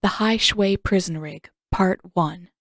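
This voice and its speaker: A woman's voice: fairly low pitch, slow, gravelly, no room sound, clean studio sound. A woman reading scripted fiction as an audiobook narrator.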